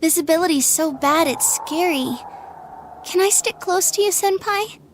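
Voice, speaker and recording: A woman's voice: very high-pitched, moderate pace, a little hiss or hum. A woman playing a cutesy, girlish younger schoolgirl in an English anime game, sugary and clingy. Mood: clingy, coy. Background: faint music.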